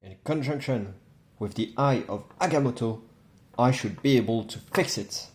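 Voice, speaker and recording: A man voicing a polite, earnest sorcerer superhero in a homemade toy film, explaining haltingly. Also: mid-range voice, a little mellow, natural voice.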